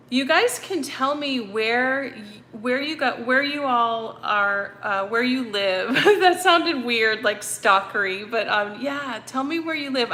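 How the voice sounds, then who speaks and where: A woman's voice: a little high, pushed and bright, slight background noise. A woman with a slight Boston accent, chatting casually with viewers on a livestream from her home, rambling with lots of ums and restarts. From North Andover, Massachusetts. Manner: chatty, rambling, many ums and self-corrections. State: hot and sweaty. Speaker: medium hosting a livestream. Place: apartment with broken air conditioning.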